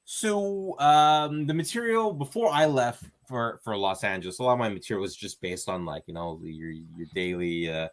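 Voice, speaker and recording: A man's voice: lowish voice, normal speed, few pauses. A man, a stand-up comedian, chatting casually as an interview guest, rambling with fillers and restarts and acting out quoted exchanges. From Canada.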